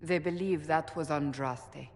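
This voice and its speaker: A woman playing a stern, determined warrior leader in a fantasy game, blunt and deliberate in manner. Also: deep voice. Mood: resolute. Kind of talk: dramatic fantasy game acting. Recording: reverberant hall echo.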